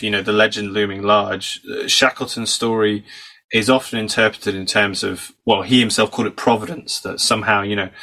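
Bright-voiced British academic man, slightly hoarse. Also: on the low side, rough recording. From United Kingdom. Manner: fluent storytelling, explanatory. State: slightly losing his voice from a cold. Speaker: psychology professor and author guest. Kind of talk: conversational podcast interview.

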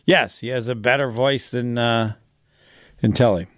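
A man's voice: medium pitch, steady tone, a little slow, fairly bright tone, dry recording, studio quality. A man co-hosting a sports radio show in casual chat, rambling and cutting himself off mid-thought. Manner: rambling, self-interrupting, chatty.

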